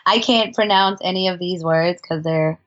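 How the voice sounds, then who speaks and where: A woman's voice: on the low side, moderate pace, a bit bright, lo-fi sound. A woman telling travel stories as a podcast guest in a chatty, casual way, laughing often and restarting her sentences. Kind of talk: casual conversational storytelling.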